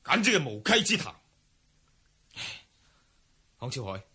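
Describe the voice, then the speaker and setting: A man's voice: a little high, very animated, a little slow, bright, forceful tone, husky, full character voice. A man playing a righteous martial-arts hero in a historical radio drama, declaiming with scorn for corrupt courtiers. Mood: stern.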